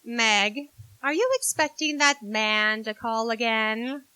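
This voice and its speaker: A woman's voice: fairly high pitch, takes it slowly, bright, forceful tone, smooth. A woman acting a spirited, blunt young writer sister in a 1940s-style radio drama, brash and dramatic. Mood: teasing, insistent. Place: live stage in a theater.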